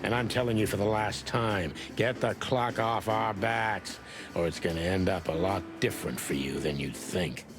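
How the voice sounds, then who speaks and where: A man acts out a tough rooster detective in a noir game. The voice sits low, weary and sardonic, with dry hard-boiled delivery. Music plays behind it. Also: moderate pace, close to an everyday voice. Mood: menacing, contemptuous. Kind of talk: noir video game acting.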